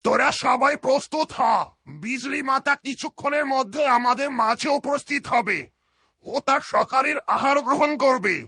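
A monstrous hawk from a fairytale cartoon, menacing and bossy. The voice is very high, harsh and husky, pushed hard into threats and orders. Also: male voice, normal speed, bright, forceful tone, dry recording, heavily acted. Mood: commanding. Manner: commanding, threatening. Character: menacing giant demon hawk, bullying servant of a queen.

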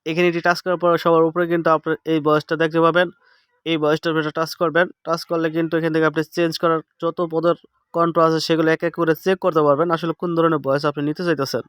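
A man's voice: fairly high pitch, level tone, rapid-fire, fairly bright tone, clean tone, no room sound, clean studio sound. A man giving a casual step-by-step app tutorial, checking in with the listener as he instructs.

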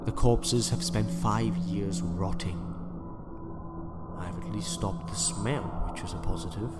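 Ancient, coldly polite villain, low-voiced. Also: male voice, over loud music. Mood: cold, menacing. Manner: measured, condescending, coldly courteous. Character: ancient, sinister male scientist emperor, coldly polite villain. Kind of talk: audio drama acting.

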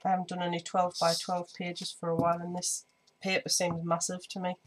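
Woman, a bit croaky, lowish even voice, chatty crafting tutorial at home, faint hiss behind. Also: brisk. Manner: chatty, many ums, hands busy while talking. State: slightly croaky voice. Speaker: scrapbooking vlogger. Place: indoor home craft table. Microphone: overhead camera on desk, speaker off screen.

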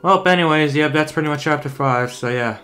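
A man's voice: a little high, fairly quick, a bit bright, faint music behind. A young man giving casual, informal game reaction commentary, swearing and exclaiming as he goes. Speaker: gaming YouTuber.

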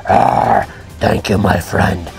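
A man's voice: sits low, sing-song, slow pace, pitched below the performer's own voice, exaggerated voice. A man voicing a huge, angry, simple-minded brute who roars and talks in broken grammar. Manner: broken grammar, gruff roaring. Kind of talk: toy play voice acting.

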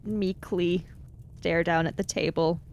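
Creaky-voiced woman role-playing at a measured pace. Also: lowish voice, steady tone, darkish tone, dull audio, slight background noise. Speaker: tabletop role-play player. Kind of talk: improvised role-play narration and dialogue.

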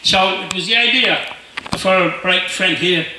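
A man's voice: sits high, measured pace. An older man, telling rambling anecdotes informally to a gathering over a handheld microphone. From Ireland. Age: 60s or older. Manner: rambling, storytelling. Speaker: club speaker and musician. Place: inside a marquee at a club rally. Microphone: handheld microphone through PA, captured by distant camera. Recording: echoey, noisy PA recorded from far off.

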